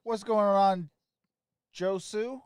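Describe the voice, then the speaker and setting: Male stream host, lightly raised pitch, dry close sound. Informal, off-the-cuff play-by-play and chat. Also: fairly even intonation, takes it slowly, a little mellow, clear, resonant tone, dry recording. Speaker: live stream host calling a basketball game.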